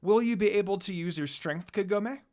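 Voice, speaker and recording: A woman's voice: mid-range voice, normal speed, darkish tone, close to an everyday voice. Timid little girl played by a woman. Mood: curious.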